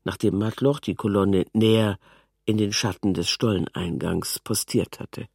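A man's voice: lowish voice, fairly even intonation, unhurried, gravelly, darkish tone, breathy edge. A man narrating a scripted literary prose text.

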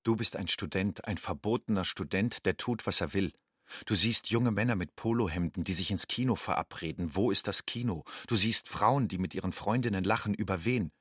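A man playing a young undocumented student, an anxious outsider, in a measured, introspective radio drama monologue. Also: low-pitched, a bit bright, husky, no echo, studio quality. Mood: tender, tense. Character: young illegal immigrant student, anxious, inwardly longing outsider.

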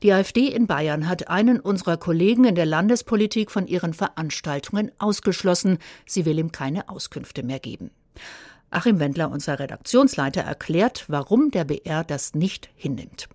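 A woman hosting a news magazine who presents scripted items in a measured, serious way. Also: lowish voice, lively intonation, darkish tone, no echo, clean studio sound.

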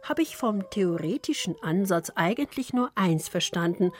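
A woman from Poland, Polish native, narrating with a Polish accent in a soft, slow, intimate read. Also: mid-range voice, expressive, soft background music. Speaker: radio author and narrator. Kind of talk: scripted reflective narration.

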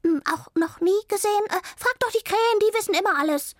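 A woman's voice: very high-pitched, fast talker, no room sound, studio quality, voice pushed up. A woman voicing a small timid squirrel in a children's story who stammers and hesitates. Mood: flustered.

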